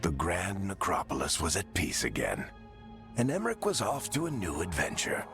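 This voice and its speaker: A man's voice: sits low, huge pitch swings, moderate pace, music in the background, heavily acted. A man playing a wry, weary older mentor and storyteller in a fantasy game. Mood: ominous storytelling. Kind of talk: dramatic narration and game dialogue.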